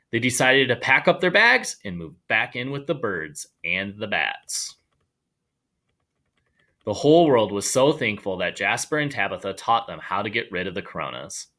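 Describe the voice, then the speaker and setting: A man reading a children's story aloud in an animated storytelling style. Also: fairly low pitch, creaky. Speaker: storybook reader.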